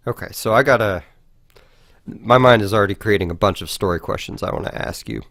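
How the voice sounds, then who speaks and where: A man co-hosting a podcast, casually discussing video games and haltingly thinking aloud, with some swearing. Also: on the low side, a bit bright, no echo, studio quality. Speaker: podcast host.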